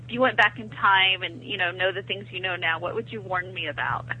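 A woman's voice: mid-range voice, fairly quick, sharp tone, breathy edge. A woman in her late thirties with a Texan accent, calling in to a radio show, bubbly and giggly. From Texas. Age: 30s. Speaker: caller. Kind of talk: phone-in call to a radio show. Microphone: telephone. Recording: muffled phone line.

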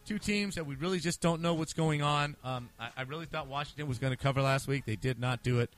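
A man's voice: medium pitch, quick pace, fairly bright tone. A man hosting a casual sports picks show, talking in a playful, slangy and sometimes profane way. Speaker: sports podcast host. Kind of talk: casual sports pick banter.

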